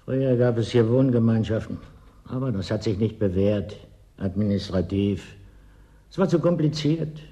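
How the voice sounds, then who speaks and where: An actor in a German radio play as an evasive, pedantic official who stubbornly denies danger. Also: male voice, on the low side, lively intonation, normal speed, warm tone, close to an everyday voice. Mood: officious, matter-of-fact. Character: evasive male settlement bureaucrat, stubbornly denying the danger.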